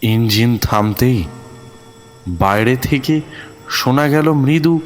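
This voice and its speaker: A man narrating a horror thriller audio story in a dramatic, suspenseful way with deliberate pauses. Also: medium pitch, soft background music.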